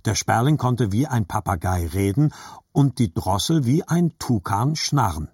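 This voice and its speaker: A man's voice: lowish voice, expressive, unhurried, darkish tone, slightly rough, no room sound, clean studio sound. A man narrating literary fiction for an audiobook in a scripted reading style.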